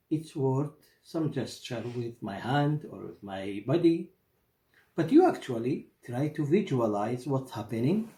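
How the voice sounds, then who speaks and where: A middle-aged man from Iraq, a native Arabic speaker with an Iraqi accent, storytelling and explaining in deliberate, expressive, halting phrases. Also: on the high side. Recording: roomy echo.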